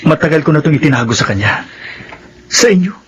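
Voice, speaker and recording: A man's voice: fairly high pitch, big pitch swings, fast talker, a bit bright, a little hiss or hum. A man with a Filipino accent playing an earnest, weary adult son confessing in a radio drama. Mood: earnest, resolved. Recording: muffled radio drama audio with music.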